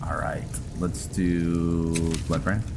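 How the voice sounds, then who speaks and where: A man giving casual gameplay commentary, thinking aloud and talking to viewers over loud game music. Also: deep voice, little pitch movement, slow pace, gravelly. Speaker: gameplay video host.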